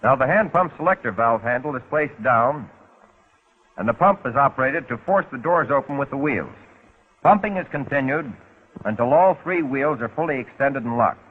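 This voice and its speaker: A man's voice: mid-range voice, expressive, moderate pace, pauses often, breathy edge. An American man narrating a 1940s instructional film in a scripted, instructional way with folksy, wry touches. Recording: muffled, phone-like 1940s film soundtrack.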